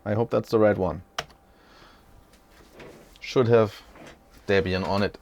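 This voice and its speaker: Man, 40s, gravelly, slow-paced, rambling and self-correcting, casual tech host, small home room. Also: fairly low pitch, steady tone, darkish tone, dull audio, slight background noise. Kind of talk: unscripted casual tech walkthrough.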